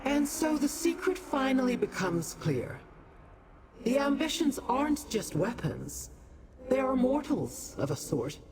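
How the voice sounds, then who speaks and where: Theatrical, swooping otherworldly immortal. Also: female voice, sits high, sing-song, a little mellow, a little hiss or hum. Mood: intrigued, cryptic. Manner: theatrical, formal, deliberate. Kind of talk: fantasy video game dialogue.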